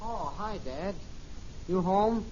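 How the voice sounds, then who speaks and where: An actor playing a casual, wisecracking teenage son in a 1950s radio family sitcom. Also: male voice, high voice, animated, takes it slowly, a little mellow. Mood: breezy. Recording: muffled, noisy vintage radio recording.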